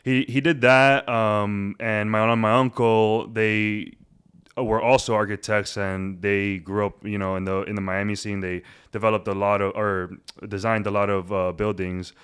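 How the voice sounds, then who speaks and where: A man in his twenties, born in Cuba, talking casually as an interview guest with a relaxed, rambling manner and many fillers. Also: on the low side, measured pace, clean tone. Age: 20s. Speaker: guest, realtor.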